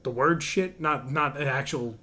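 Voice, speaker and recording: A man's voice: medium pitch, normal speed, pushed and bright. A man co-hosting a podcast in casual, joking banter with plenty of swearing. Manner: joking, profane. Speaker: podcast co-host.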